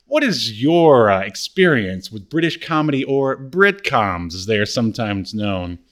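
Male podcast co-host, mid-pitched, casual chat delivery with playful hyperbole. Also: big pitch swings, unhurried.

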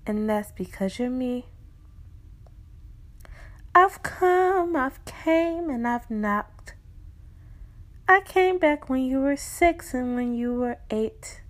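A woman voicing her own wounded reflection, a young woman who speaks urgently and emphatically to her, slowly pleading with her to heal. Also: high voice, lively intonation, voice pushed up. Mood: anguished.

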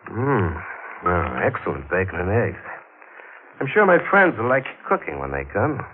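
A man's voice: low-pitched, big pitch swings, normal speed. A man playing a menacing escaped killer in an old radio crime drama, curt, commanding and sardonic. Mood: wary, commanding. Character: menacing escaped killer, adult man, cunning, holding a housewife hostage. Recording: tinny old radio recording with hiss.

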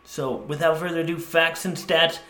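A man hosting a comedy podcast with a bright, forward voice, trading jokes and deadpan bits. The sound carries the echo of a live room. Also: fairly high pitch, moderate pace. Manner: joking, laughing, deadpan bits. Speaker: podcast co-host. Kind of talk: casual comedic podcast chat.

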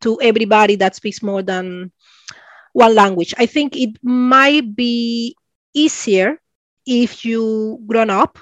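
A woman in her forties from Cuba, speaking English with a Cuban Spanish accent as an interview guest, often pausing for words and laughing. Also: mid-range voice, no room sound. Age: 40s. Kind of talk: interview conversation.

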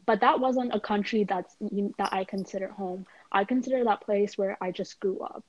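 A woman's voice: medium pitch, moderate pace, dry recording, highs cut off. A young woman, a student, in a casual conversation, talking in a chatty way full of "like" and "you know.". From Canada.

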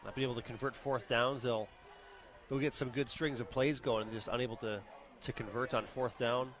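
A man giving casual color commentary at a high school football game, halting and repeating words as he talks. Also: steady tone, rough recording.

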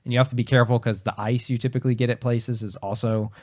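A man's voice: mid-range voice, level tone, quick pace, a little mellow. A man co-hosting a podcast in casual chat, explaining things step by step, his voice just recovering after he lost it. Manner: explanatory, many fillers and restarts. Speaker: podcast co-host.

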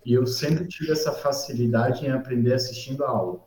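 A man speaks in a warm, dark tone, relaxed and rambling as he recalls his student years. He keeps checking in with his listener through small tag questions. The room gives his voice a noticeable echo. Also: mid-range voice, fairly quick. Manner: relaxed, rambling, with frequent "tá?" and "sabe?" tags. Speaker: podcast guest, civil engineer. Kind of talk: casual storytelling. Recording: roomy echo.

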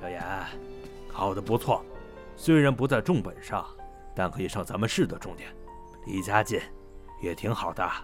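A man's voice: fairly low pitch, normal speed, pauses often, soft background music, close to an everyday voice. A man acting a mild, caring, conciliatory middle-aged father in a Chinese audio drama. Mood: consoling.

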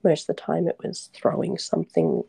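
A shaken woman speaks in a hushed, very breathy whisper with a gravelly edge. She halts and restarts often, and the sound is close and dry. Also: sits low, big pitch swings, fairly quick, no room sound. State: shaken and trembling. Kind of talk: whispered confessional conversation.

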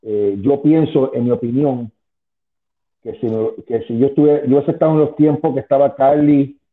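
A man's voice: medium pitch, fairly even intonation, moderate pace. A man in his fifties, an interview guest who rambles with many restarts and asides. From Spanish-speaking, moved to the US at ten. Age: 50s. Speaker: guest, former wrestling manager. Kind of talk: casual interview on a call. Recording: tinny phone line.

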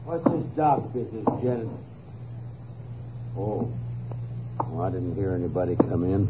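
A cagey rural crook from an old radio drama. He is deep-voiced and drawls out folksy, defensive answers very slowly. The recording is dull and muffled. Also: lively intonation, noisy background. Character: cagey, slow-talking rural crook feigning innocence. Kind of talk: 1950s radio drama acting.

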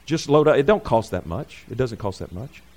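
A man preaching informally to a congregation, rambling and correcting himself, asking for amens and rising loud and high when he drives a point home. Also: animated, brisk. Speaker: pastor.

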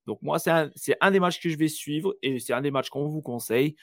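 A man co-hosting a casual sports podcast, animated and teasing, firing off quick questions. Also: on the high side, dry recording, clean studio sound. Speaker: podcast co-host.

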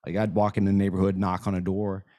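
Deep-voiced man, a bit hoarse. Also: flat delivery, fast talker, dark tone, no room sound, clean studio sound. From Florida. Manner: easygoing, rambling, says "man" often. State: losing his voice. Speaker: podcast guest. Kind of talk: casual interview chat. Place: podcast studio.

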